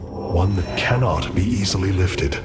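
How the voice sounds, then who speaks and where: Deep, solemn alien warrior leader. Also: male voice, lively intonation, unhurried. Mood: grieving. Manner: grave, formal, declamatory. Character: noble armored male alien warrior leader, burdened, solemn. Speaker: alien military commander. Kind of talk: dramatic video game voice acting. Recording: loud music behind.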